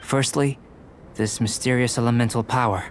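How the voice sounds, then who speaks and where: A young male alchemist from an anime game, acted by a man, composed and coolly curious. His mid-range voice is measured, polite and formal, with prominent music playing behind it. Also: mid-range voice, natural voice. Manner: measured, analytical, formal, calm. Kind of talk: anime game dub acting. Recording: background music under the voice.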